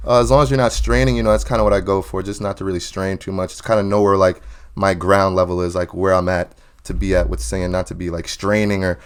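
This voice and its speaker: A young man, a singer, chatting casually in an interview, relaxed and full of "you know" fillers, laughing often. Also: low-pitched, quick pace, smooth. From New Jersey or New York area. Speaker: guest singer.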